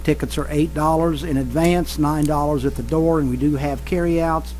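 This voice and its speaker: A man, a city councilman, making announcements at a meeting in an earnest, halting way with many pauses. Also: fairly high pitch, fairly even intonation, a little mellow, slight background noise, low-quality recording. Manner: hesitant, many uhs, earnest. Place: council chamber.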